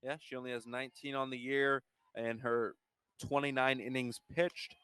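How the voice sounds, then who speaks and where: A man working as color commentator on a softball broadcast, giving casual analysis between pitches. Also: little pitch movement, normal speed, clear, resonant tone, no room sound.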